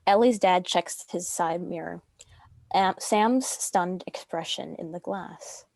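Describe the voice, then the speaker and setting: A woman's voice: on the low side, slow pace, vocal fry, darkish tone, no room sound. A woman reading stage directions as scripted narration for a dramatic script reading.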